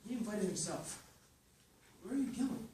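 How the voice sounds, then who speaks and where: An actor reading the part of a modest young cook at a script reading, answering in short, unassuming replies. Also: male voice, high-pitched, lively intonation, normal speed. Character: young male cook, modest and quietly eager. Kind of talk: script table read. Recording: echoey room, far mic, noise.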